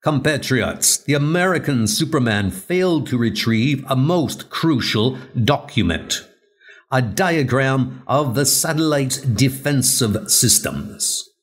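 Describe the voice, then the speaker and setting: A man playing a smug Soviet official who reports formally and deliberately in an audio comic book. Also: mid-range voice, lively intonation, breathy edge. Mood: smug, pleased.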